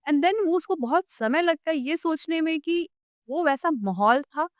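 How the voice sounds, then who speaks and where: A woman with an Indian accent hosting a conversational interview, thinking aloud in a slightly halting way. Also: high-pitched, expressive, no room sound, studio quality. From small town in India. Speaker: podcast host. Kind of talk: conversational interview, Hindi mixed with English.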